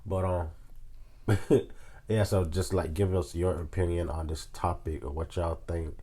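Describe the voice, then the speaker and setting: Very deep male voice, Southern US accent, young, sore throat, rambling joking podcast chat. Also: steady tone, measured pace, warm tone, husky. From South Carolina, United States. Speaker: podcast co-host. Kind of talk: casual chat.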